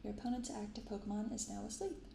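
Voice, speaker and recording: A soft-spoken woman hosting an unhurried ASMR-style unboxing, recorded in an untreated apartment room. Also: medium pitch. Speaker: host of a card unboxing channel. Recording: roomy untreated apartment, clear background noise.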